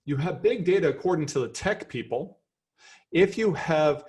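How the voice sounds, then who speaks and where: A middle-aged Canadian man with a Canadian accent giving a lively, chatty seminar lecture as a professor, enthusiastic and self-deprecating. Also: on the high side, normal speed.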